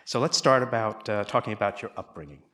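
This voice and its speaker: Man, fairly low voice, host, formal introduction, measured questions, live audience. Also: expressive, pushed and bright. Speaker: interview host and moderator. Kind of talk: introducing a guest and asking questions. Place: talk recorded before a live audience.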